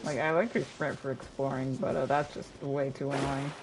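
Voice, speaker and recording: A man's voice: mid-range voice, level tone, normal speed, noisy background. A young man streaming a video game with casual, chatty commentary.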